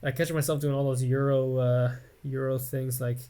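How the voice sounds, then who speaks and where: A man's voice: medium pitch, level tone, normal speed, mellow, clear, resonant tone. An American man in his late twenties, rambling and self-mocking as he thinks aloud over gameplay commentary at his home computer. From United States. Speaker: Let's Play gamer.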